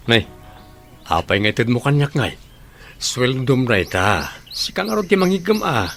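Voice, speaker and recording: A man's voice: mid-range voice, sing-song, normal speed, creaky, a bit bright, faint music behind. A man with a Filipino accent playing a stern, protective older brother in a radio drama. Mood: gentle, modest.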